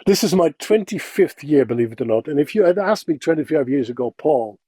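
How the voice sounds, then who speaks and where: Husky Dutch-accented man, expressive. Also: on the high side, brisk, no room sound, clean studio sound. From Netherlands. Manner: expressive, animated, self-deprecating humor. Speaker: interview guest. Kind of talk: casual conversational storytelling.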